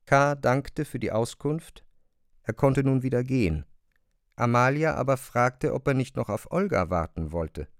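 A man's voice: medium pitch, lively intonation, measured pace, smooth, dry recording. Man narrating literary radio drama, dry studio.

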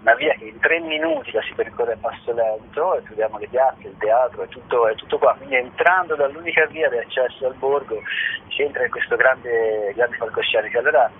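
A man's voice: mid-range voice, lively intonation, a little fast. A man, giving an unscripted interview as an artistic director, hesitant and often repeating himself as he searches for words. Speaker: theatre festival artistic director being interviewed. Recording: tinny phone line with clear noise.

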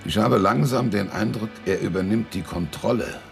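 Creaky, sentimental middle-aged father. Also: lowish voice, music in the background. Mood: uneasy. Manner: halting, emotional. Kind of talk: German radio drama acting.

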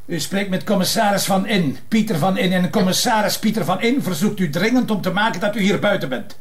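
An actor playing a gruff, impatient, sarcastic but considerate Flemish police commissioner in a naturalistic TV drama. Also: male voice, high voice, a little fast. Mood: stern, sarcastic.

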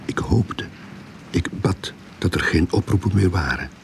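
Rookie detective, wry and tired, creaky low voice, radio drama, noisy backdrop. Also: male voice, measured pace. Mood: weary, wry. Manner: wry, world-weary. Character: weary young male novice private detective narrating his case. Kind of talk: radio drama narration and dialogue. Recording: radio play with clear background noise.

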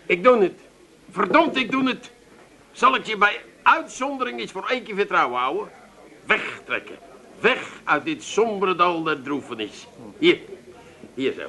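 Radio comedy: hard-up Amsterdam brother-in-law, sharp, highish voice, complaining and theatrically sorry for himself. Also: male voice, lively intonation, normal speed, long pauses, exaggerated voice. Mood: resolved, dramatic. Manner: complaining, theatrically self-pitying.

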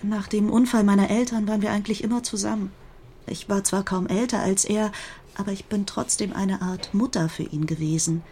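A woman playing a grieving, protective older sister who gives an earnest, confiding statement in a German radio crime drama, with a husky voice. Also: medium pitch, fairly even intonation, fairly quick, warm tone. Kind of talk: German radio play acting, recounting testimony.

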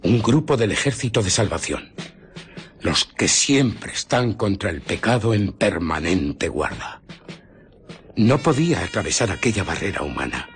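An actor playing a bitter, haunted scientist and fugitive, recounting his ordeal in a radio play with theatrical, deliberate pauses. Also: on the low side, big pitch swings. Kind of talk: dramatic radio play narration.

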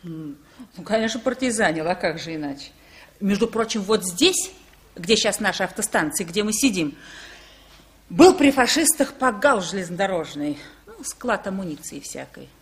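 Homely female cashier, brisk, kindly, reassuring, medium pitch, vintage Soviet radio drama female actor. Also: animated, slight background noise, lightly acted. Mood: rueful, matter-of-fact. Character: kindly, brisk night cashier, former wartime partisan.

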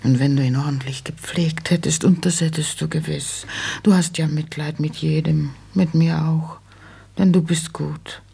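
An actress playing an insistent, plaintive old mother who talks plainly and haltingly, in a German radio play. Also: sits low, fairly even intonation, mellow, full character voice. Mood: coaxing, wistful. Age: older. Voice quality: husky, creaky. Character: nagging, money-minded old widowed mother, plain-spoken.

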